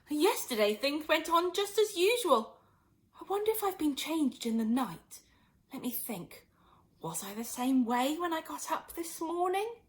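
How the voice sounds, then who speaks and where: Woman playing a fretful, high-voiced young girl. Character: fretful young girl, muddled, self-scolding, earnest.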